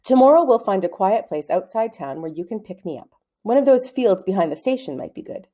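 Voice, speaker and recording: A self-assured schoolboy , as a woman reads him, laying things out casually and persuasively. The storybook voice is mellow, with lively rises and falls. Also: mid-range voice, brisk, close to an everyday voice. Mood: matter-of-fact. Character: confident schoolboy planning an adventure.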